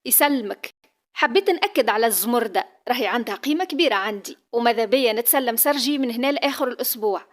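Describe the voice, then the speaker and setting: A woman's voice: a little high, expressive, fast talker, lightly acted. A woman voicing a courteous young Tunisian prince. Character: young male prince, polite but insistent.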